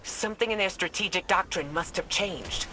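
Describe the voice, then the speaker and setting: An actress playing a steady, commanding military leader in a video game, composed and authoritative. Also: female voice, lowish voice, moderate pace, lightly acted. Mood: urgent, commanding. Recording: noisy game ambience behind the voice.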